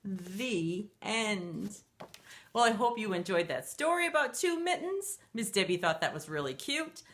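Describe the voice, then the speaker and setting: A woman's voice: medium pitch, big pitch swings, measured pace, fairly bright tone. A middle-aged woman reading a picture book aloud to young children in a playful, sing-song manner. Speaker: children's storytime reader.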